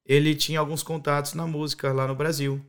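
Male musician guest, strong Brazilian caipira accent, fairly high creaky voice, joking and informal. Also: flat delivery, a little fast, fairly bright tone. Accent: strong rural Brazilian interior (caipira). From interior of Brazil. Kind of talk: casual chat.

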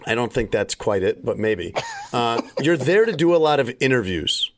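Middle-aged male podcast host, mid-pitched, rambling casual storyteller, voice still slightly limited after recovery. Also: very animated, moderate pace. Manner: rambling storyteller, frequent fillers.

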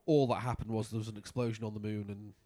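A man's voice: fairly low pitch, little pitch movement, fast, mellow, no echo. A man with a nasal voice from polyps, co-hosting a casual podcast chat in a laid-back, easygoing way. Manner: laid-back, chatty, laughs easily. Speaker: podcast co-host.

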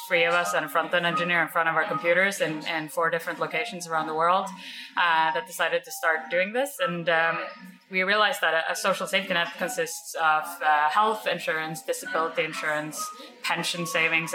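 A woman's voice: fairly low pitch, low-quality recording. A woman from Norway, a startup founder, talking casually as a podcast guest with many fillers and restarts. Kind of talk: casual interview talk.

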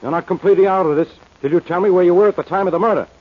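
An actor playing a stubborn, exasperated police inspector in an old-time radio drama, blunt and argumentative. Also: male voice, sits high, rapid-fire. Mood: irritated. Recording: dull old radio recording.

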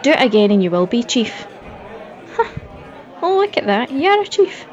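A woman's voice: a little high, big pitch swings, moderate pace, muffled recording, some chatter behind. A woman with a Scottish accent playing a brash, cheeky, foul-mouthed young woman with a sarcastic manner. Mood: teasing.

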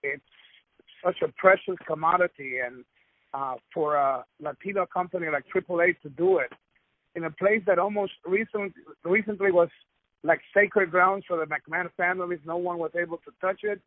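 A man's voice: high voice, dry recording. Proud Ecuador-born man on a phone line. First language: Spanish. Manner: proud, measured talker. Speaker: wrestling promoter. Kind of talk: phone interview.

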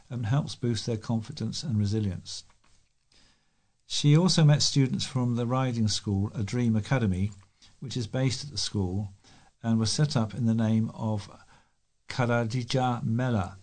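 A man's voice: medium pitch, a little mellow. A man reading local newspaper articles aloud as a volunteer news reader, with the occasional stumble.

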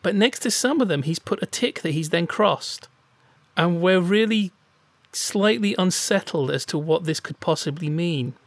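A man's voice: high voice, animated, moderate pace, no room sound. A man co-hosting a casual chat show, telling playful anecdotes. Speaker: podcast co-host.

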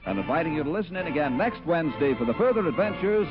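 American male announcer, 1940s radio sign-off style, animated delivery over loud music, muffled broadcast sound. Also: on the high side, racing.

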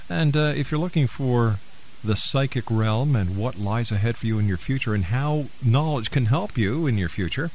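A man hosts a live radio talk show in a mid-pitched voice, pausing and hesitating as he goes. The broadcast recording carries a faint hiss. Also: low-quality recording. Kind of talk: live radio hosting with sponsor reads.

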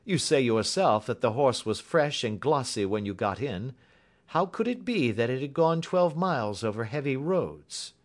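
A man playing a calm, dryly superior detective in an audiobook, speaking with deliberate assurance. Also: medium pitch, voice pushed up, close to an everyday voice. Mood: dryly confident. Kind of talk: audiobook character acting.

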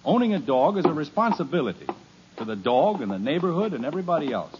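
A blustering, sarcastic suburban father in a vintage radio comedy. His fairly high voice swings up and down as he grumbles. The sound is dull and lo-fi, with faint hiss. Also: big pitch swings, fast. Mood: grumbling. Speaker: father of the family. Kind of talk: 1950s radio sitcom acting.

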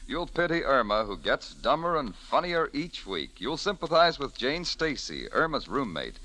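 A man's voice: medium pitch, pushed and bright, poor audio. A man announcing in scripted 1940s network radio style. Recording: old radio broadcast with music behind. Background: music.

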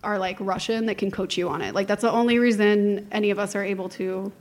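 A woman's voice: mid-range voice, fast talker. A young woman who sings opera, talking loosely as a podcast guest. She laughs easily and pokes fun at herself and her own voice type, in an easy, candid manner. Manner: laughing, candid, self-mocking. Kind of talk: casual chat.

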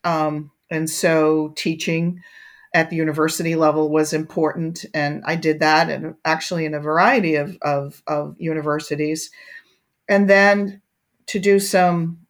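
An older woman with a low voice talks through her thoughts in an interview. She pauses often with fillers and restarts her sentences. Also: steady tone. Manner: reflective, many fillers and restarts. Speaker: guest, education researcher. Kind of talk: conversational interview.

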